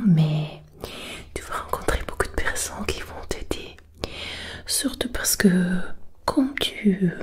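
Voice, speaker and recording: A woman playing a kindly good fairy in an ASMR fantasy roleplay, whispering extremely slowly. Her low, breathy delivery is gentle and curious, with halting phrases that welcome a lost traveller. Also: heavily acted.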